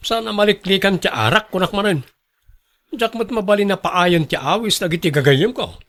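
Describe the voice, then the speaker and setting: An actor with an Ilocano accent playing a volatile, hard-drinking young husband in a radio drama. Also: male voice, sits high, animated, moderate pace, a bit bright. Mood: defensive.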